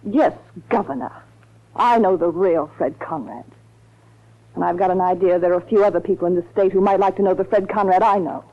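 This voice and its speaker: Brazen young blackmailer, muffled radio sound. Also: female voice, fairly low pitch, a little fast. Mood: mocking. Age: young adult. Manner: taunting, self-assured, sardonic. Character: brazen, cool young female blackmailer, mocking and hard. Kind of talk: 1940s radio acting. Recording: muffled vintage radio broadcast.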